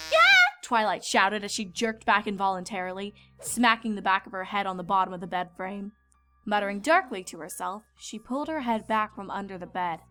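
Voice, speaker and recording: A woman's voice: medium pitch, a bit bright. A woman reading scripted storybook narration as the narrator. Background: background music.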